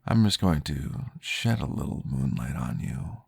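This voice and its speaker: A man playing a calm, courteous vampire therapist in an ASMR roleplay, guiding gently and formally in a breathy, gravelly voice. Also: rumbling low, exaggerated voice. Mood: soothing, reassuring. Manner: slow, gentle, formal, soothing guidance.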